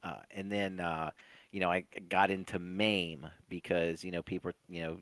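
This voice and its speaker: A man of about forty chatting casually as an interview guest, halting and often repeating his words. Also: sits low, little pitch movement, fairly bright tone, no echo. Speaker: interview guest, competitive player. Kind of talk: casual chat, recollecting.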